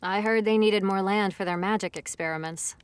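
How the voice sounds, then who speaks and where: An earnest young female officer acted by a woman in a fantasy audio drama. She speaks crisply and dutifully, like a second in command, at a middling pitch. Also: fairly even intonation, a little fast, a little hiss or hum, close to an everyday voice. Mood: gossiping. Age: young adult. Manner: crisp, deferential military address.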